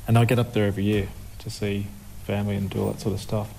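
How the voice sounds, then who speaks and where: Panelist: Australian man, lowish flat delivery, hesitant and casual, faint noise behind him. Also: fast talker, vocal fry, poor audio.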